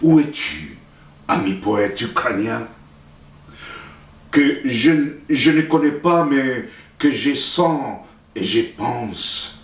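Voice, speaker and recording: A man from Chile with a Chilean Spanish accent, a native Spanish speaker, recites his poetry in a solemn, declamatory way with deliberate pauses. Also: medium pitch, expressive. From Santiago, Chile. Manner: deliberate, declamatory, with pauses between short phrases. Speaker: poet reading own work. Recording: roomy echo, distant microphone.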